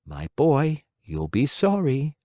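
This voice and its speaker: A patient, motherly fairy, as a storybook reader puts her on, speaking gently and unhurriedly. Her voice is a little raised and moves freely, heard close and dry. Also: medium pitch, huge pitch swings, slow pace, no echo, pitched above the performer's own voice. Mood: coaxing. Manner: gentle, coaxing and firm.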